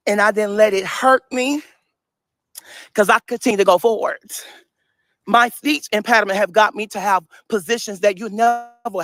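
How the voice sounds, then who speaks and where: 30s guy w a speech impediment, super bright punchy voice, keeps laughing mid-rant, dry sound. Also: sits high, dry recording. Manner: emphatic, repetitive, laughs often while talking. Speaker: social media personality. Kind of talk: casual livestream-style rant.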